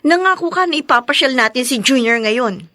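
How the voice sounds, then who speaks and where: An actress playing a proud, embittered young wife in an emotional, confrontational radio drama style. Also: female voice, sits high, animated, brisk, pushed and bright, no room sound, clean studio sound. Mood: resentful.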